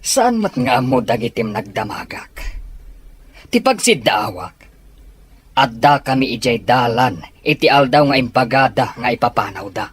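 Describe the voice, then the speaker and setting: A man's voice: mid-range voice, lively intonation, unhurried, slight background noise, lightly acted. An actor with an Ilocano Filipino accent playing an agitated, baffled henchman in an audio drama. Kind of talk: Ilocano radio-style drama acting.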